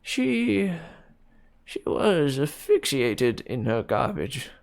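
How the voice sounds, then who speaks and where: A man's voice: medium pitch, very animated, drawn-out words, gravelly, pitched above the performer's own voice, full character voice. A man voicing a nervous elderly hunchbacked antique dealer who stammers and pleads.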